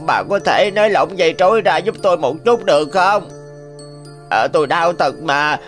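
A man's voice: on the high side, expressive, moderate pace, close to an everyday voice. A man voicing a greedy, cunning male tanuki in a cartoon, who turns whiny when caught. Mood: pleading. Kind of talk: cartoon voice acting. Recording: faint music behind.

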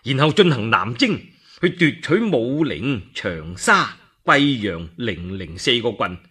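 A man voicing a respectful, deliberate adviser counselling his lord in a Cantonese radio story. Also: medium pitch, sing-song, pushed and bright, slightly rough, pitched below the performer's own voice, full character voice. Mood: grave, measured advice.

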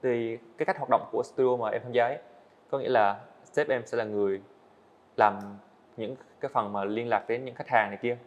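An earnest eighteen-year-old man tells his story to a podcast host. He talks quickly at a mid pitch, and the sound is a little dull. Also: fairly even intonation. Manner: earnest, storytelling, answers politely with "dạ vâng". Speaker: guest, animator. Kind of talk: podcast interview. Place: indoor studio set.

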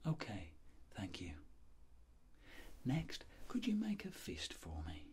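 A middle-aged man speaking in soft whispered ASMR, playing a clinic doctor giving gentle, unhurried instructions. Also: medium pitch, huge pitch swings, slightly rough.

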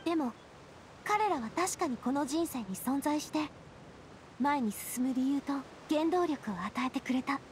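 アニメ調ゲームで、穏やかで茶目っ気のある若い女性リーダーを演じる女性。くだけた女性らしい口調で真摯に話す。. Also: female voice, sits high, animated, unhurried, slight background noise. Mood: wistful, determined. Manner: casual, feminine, softly earnest. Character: bright, resilient young woman leader, gentle and playful. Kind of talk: Japanese anime game voice acting.

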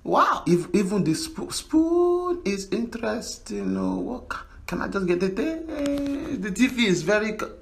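A man's voice: sits high, sing-song, a little slow, vocal fry, few pauses. A man doing comic voiceovers for animals, stammering and switching character voices. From Africa.

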